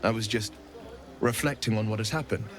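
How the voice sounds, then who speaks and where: A man's voice: fairly low pitch, fairly quick, natural voice. A man playing a brooding, good-natured swashbuckling hero with wry humour in a video game. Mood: sheepish, reflective. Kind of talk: video game character dialogue. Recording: music behind the voice.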